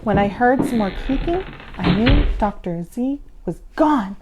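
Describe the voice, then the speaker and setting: Female children's story narrator, theatrical and suspenseful, fairly high voice, highly animated. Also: unhurried, muffled recording, very noisy. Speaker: storyteller, host of children's readings.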